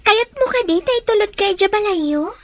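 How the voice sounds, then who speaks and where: A woman's voice: extremely high pitch, animated, a little fast. A woman playing a poor, resilient young orphan in an audio drama, plain-spoken and caring, with a northern Philippine accent. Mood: concerned, curious. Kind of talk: acted audio drama dialogue. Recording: faint background noise.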